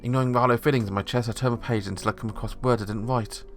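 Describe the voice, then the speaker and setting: A man's voice: medium pitch, fairly even intonation, rapid-fire, soft background music. A man reading the narration of a visual novel aloud, steadily, with small slips.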